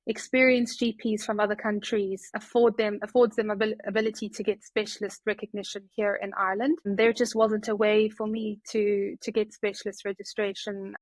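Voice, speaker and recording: A woman's voice: medium pitch, level tone, fairly quick, few pauses, no echo. A South African woman in her thirties, a doctor chatting as a guest, with plenty of fillers and restarts. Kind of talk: casual interview talk.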